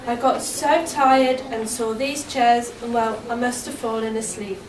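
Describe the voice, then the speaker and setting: A woman's voice: fairly high pitch, fairly even intonation, a little slow, natural voice. A woman playing an innocent, naive young fairy-tale heroine in an amateur Christmas pantomime, with a simple, earnest delivery. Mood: earnest, plaintive. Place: live amateur stage in a hall. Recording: echoey hall, distant mic, clear noise.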